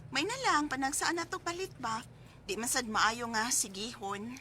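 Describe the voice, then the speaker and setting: A woman's voice: a little high, moderate pace, sharp tone. An actress playing a devoted single daughter who cares for her sick mother, in a radio drama. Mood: caring, aggrieved. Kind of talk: radio drama acting with letter narration.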